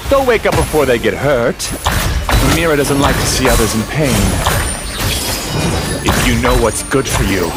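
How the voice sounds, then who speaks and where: Male actor as defiant, desperate lord of a dream world. Game drama, theatrical, highly animated, music underneath. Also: normal speed, long pauses, close to an everyday voice. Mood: defiant, menacing. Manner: theatrical, grandiose. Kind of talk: dramatic video game voice acting. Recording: loud game music behind.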